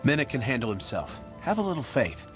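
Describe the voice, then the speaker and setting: An actor playing a practical, guarded resistance member in a video game, terse and confident. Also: male voice, mid-range voice, sing-song, a little fast, natural voice. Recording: video game music behind.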